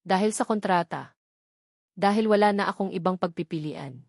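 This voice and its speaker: A woman's voice: lowish voice, normal speed, gravelly, no room sound, studio quality. A woman voicing a humble, vulnerable young woman from the province in an audio romance, meek and emotional. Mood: tearful, confessing.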